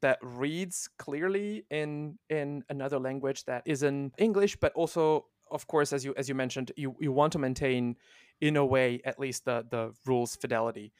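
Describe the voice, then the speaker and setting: Guy on a podcast, keeps restarting his sentences, dry close sound, middle pitch. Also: no echo. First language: Italian. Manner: halting, many restarts and fillers. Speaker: guest, translation manager. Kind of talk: casual podcast chat.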